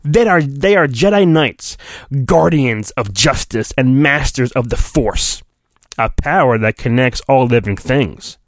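A man's voice: mid-range voice, lively intonation, measured pace, no echo, studio quality. A middle-aged man hosting a solo podcast, chatting casually and reading aloud with a joking, self-deprecating manner. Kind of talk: casual rambling solo podcast talk with mock dramatic storybook reading.

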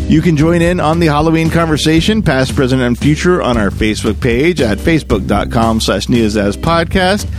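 A man hosting a podcast, reading a scripted outro in a folksy, presentational way. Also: mid-range voice. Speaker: podcast host.

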